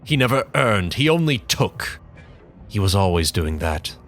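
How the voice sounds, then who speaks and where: A man's voice: fairly low pitch, big pitch swings, a bit bright, soft background music, full character voice. A man voicing a bitter, brooding young man. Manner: measured, brooding, contemptuous, with dramatic pauses. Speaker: narrator in character. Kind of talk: dramatic audiobook reading.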